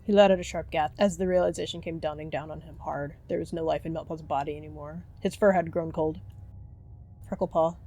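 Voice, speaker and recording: A woman's voice: sits low, steady tone, fast talker, few pauses, warm tone. A woman reading scripted story narration for an animal roleplay.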